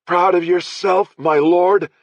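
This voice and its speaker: A man's voice: high-pitched, steady tone, takes it slowly, gravelly, no room sound, pitched above the performer's own voice, exaggerated voice. A man voicing a defiant young male prisoner who spits his words out angrily. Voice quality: angry, spitting. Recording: dry, slightly dull audio.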